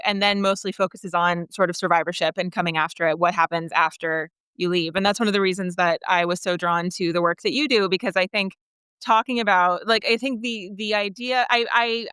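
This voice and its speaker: Woman with bright, very husky voice. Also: medium pitch, no room sound. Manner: candid, chuckles as she talks. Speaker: writer, podcast guest. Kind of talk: conversational interview, personal storytelling.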